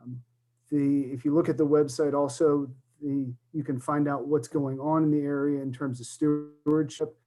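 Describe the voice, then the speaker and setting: A man's voice: a little high, flat delivery, moderate pace. A man presenting at a virtual conference, explaining things with frequent fillers. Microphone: computer mic on a video call.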